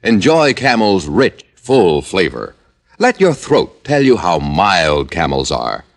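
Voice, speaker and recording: Very animated American male radio announcer. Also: sits low, slow, vocal fry. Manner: emphatic, polished. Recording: old radio broadcast with music.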